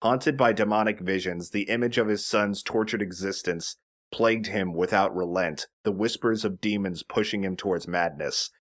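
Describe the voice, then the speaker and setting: A man's voice: fairly low pitch, moderate pace. A man giving casual, chatty commentary as he explains a video game. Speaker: let's play host.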